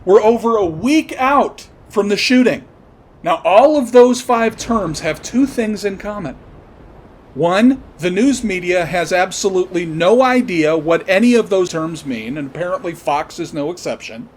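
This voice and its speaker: A man's voice: high-pitched, lively intonation, measured pace. A man delivering an opinionated commentary monologue, emphatically spelling out and repeating his points. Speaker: podcast host commentator.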